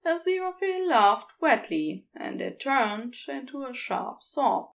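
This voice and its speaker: A woman's voice: fairly high pitch, big pitch swings, a little slow, gravelly. A woman narrating scripted fiction as a storyteller.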